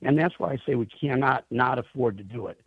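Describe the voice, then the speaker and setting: A man's voice: mid-range voice, fairly quick, breathy edge, no echo. A man speaking as an interview guest on the radio, making his case emphatically with pointed rhetorical questions. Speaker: interview guest, airline trade association chief executive. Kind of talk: radio interview, advocacy answers. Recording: tinny narrow phone line.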